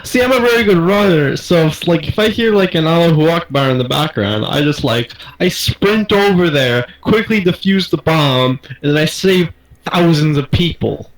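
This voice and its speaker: A man's voice: on the high side, lively intonation, moderate pace, echoey room, rough recording. A young man giggling and rambling through improvised party game banter.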